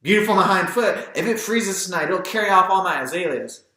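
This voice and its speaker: A man's voice: sits high, lively intonation, a little fast, bright, forceful tone, very echoey, pitched above the performer's own voice. A man voicing a bossy, scolding older Southern woman neighbour in an audiobook, speaking in exclamations. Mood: brisk scolding. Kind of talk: audiobook character voice.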